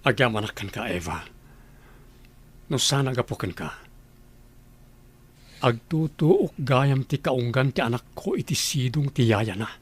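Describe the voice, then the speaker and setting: A man playing a concerned father and boss in a radio drama, earnest and deliberate, with an Ilocano accent. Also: mid-range voice, animated, slightly rough. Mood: troubled.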